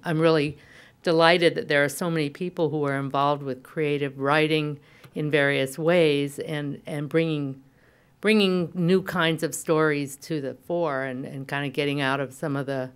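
A woman's voice: sits low, smooth. A middle-aged woman hosting an event, giving spoken remarks to an audience and feeling her way through restarted phrases. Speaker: event host. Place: at a podium on an auditorium stage. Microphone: podium mic.

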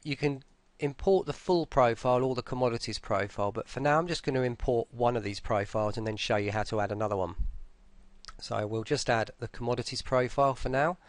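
A man presenting a software tutorial in a casual, informal way, explaining hesitantly step by step. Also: medium pitch, brisk, dry recording. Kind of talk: casual screen-recorded tutorial narration.

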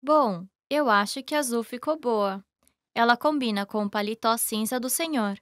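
A woman reading scripted lesson dialogue in a careful, even way. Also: fairly high pitch, normal speed, dry recording, clean studio sound. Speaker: language lesson voice actor.

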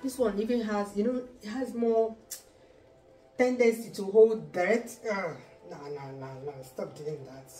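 A woman's voice: mid-range voice, a little slow, warm tone, soft background music, rough recording. A Nigerian woman in her forties hosting a cooking video in her home kitchen, chatty and playful, thinking aloud as she cooks. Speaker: cooking channel host. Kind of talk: cook-along cooking video. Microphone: camera at a distance.

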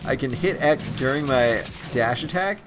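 A man hosting a let's play, commenting on gameplay in an excitable, enthusiastic way, his voice raspy and a bit stuffed up. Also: medium pitch, moderate pace, background noise.

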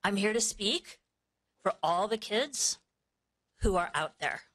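A woman's voice: medium pitch, expressive, slow pace, a bit bright. A woman giving an emotional, heartfelt public comment at a school board meeting.

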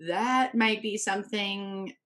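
A woman in her thirties talks candidly as a podcast guest, pausing to think as she goes. Her voice is bright and forward. She sits on a living-room sofa in front of a tabletop microphone. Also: medium pitch, fairly even intonation. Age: 30s. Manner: open, reflective, thoughtful pauses. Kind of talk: candid personal conversation. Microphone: tabletop podcast mic.

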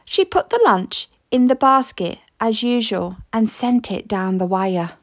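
A woman reading a children's story aloud with expressive, deliberate, dramatic narration. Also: fairly high pitch, dry recording, studio quality. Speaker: storybook reader.

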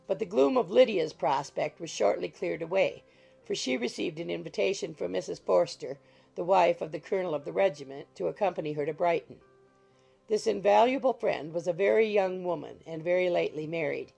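Gravelly, husky woman narrator. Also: lowish voice, soft background music. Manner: measured, even storytelling. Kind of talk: scripted audiobook narration.

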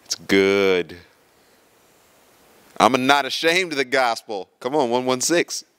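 A man's voice: medium pitch, expressive, slow pace, a bit bright, clear, resonant tone. A man in his thirties answering interview questions with playful jokes and laughing asides, into a handheld mic in an indoor church hall. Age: 30s. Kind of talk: casual joking answers. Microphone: handheld mic held by interviewer.

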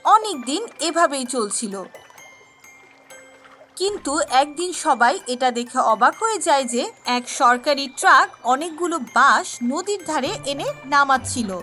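A woman narrates a children's cartoon tale. Her voice is high and bright, with light music playing underneath. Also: lively intonation, a little slow. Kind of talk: scripted children's story narration.

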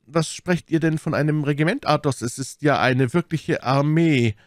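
A man's voice: a little high, normal speed, no room sound, clean studio sound, voice pushed up, natural voice. A man voicing an eager, spirited young musketeer in an audiobook. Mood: eager, excited. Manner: spirited, exclamatory. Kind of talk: audiobook character dialogue.

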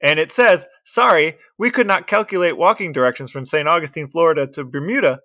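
A man co-hosting a podcast, telling a story in a lively, wry way in casual chat. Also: a little high, fairly quick. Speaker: podcast co-host.

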